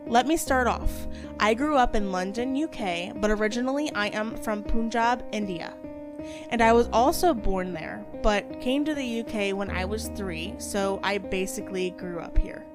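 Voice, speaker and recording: A woman's voice: medium pitch, normal speed, music in the background. A woman co-hosting a podcast, chatting casually and reading out stories. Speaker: podcast co-host telling stories.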